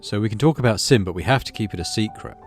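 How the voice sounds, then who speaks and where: A man's voice: on the low side, quick pace, faint music behind, natural voice. A man voicing a shy, earnest teenage boy who stammers and mumbles in a visual novel game.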